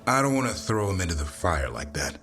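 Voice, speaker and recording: An actor playing an earnest, measured warrior who looks after griffins in a video game. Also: male voice, low voice, big pitch swings, a bit bright, soft background music, exaggerated voice. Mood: proud, assured. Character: grizzled, earnest adult male griffin-keeping warrior. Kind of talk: video game dialogue acting.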